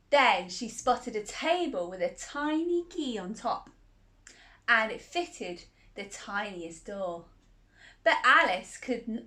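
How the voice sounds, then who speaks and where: A woman's voice: a little high, fairly bright tone. A woman reading a picture book aloud to children in a cozy, playful way, with chatty asides and laughs between the lines.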